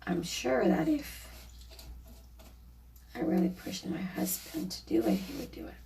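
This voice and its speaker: A woman with a slightly hoarse, raspy voice, casually narrating a painting project in a chatty, apologetic way with lots of fillers. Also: lowish voice, huge pitch swings, measured pace, warm tone, recorded from a distance, a little hiss or hum. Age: adult, a mother. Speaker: DIY vlogger.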